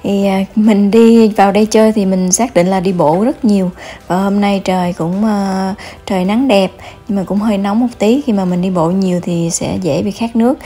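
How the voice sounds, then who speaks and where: A woman with a southern Vietnamese accent narrating an informal travel guide as a vlogger, often pausing mid-phrase. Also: mid-range voice, fairly even intonation, mellow, husky.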